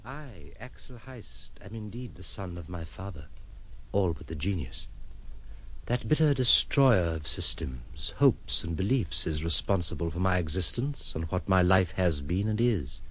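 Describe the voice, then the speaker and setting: An actor playing a courteous, detached, melancholy gentleman wanderer in an audio drama, formal and reflective in manner. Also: sits low, lively intonation, a little slow, breathy edge, full character voice. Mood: melancholy reflection. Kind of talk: audio drama acting, first-person reminiscence.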